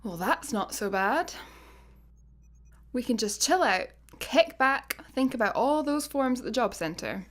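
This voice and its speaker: A woman's voice: a little high, animated, measured pace, fairly bright tone. A Scottish woman playing a laid-back, literal-minded space satellite employee in a sci-fi comedy radio play, dry and matter-of-fact. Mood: casual.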